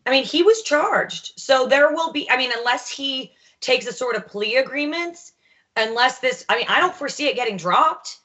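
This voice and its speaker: Bright, forceful woman on a talk panel. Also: high voice, animated, moderate pace, highs cut off. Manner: firm, explains the case step by step. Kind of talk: talk show commentary.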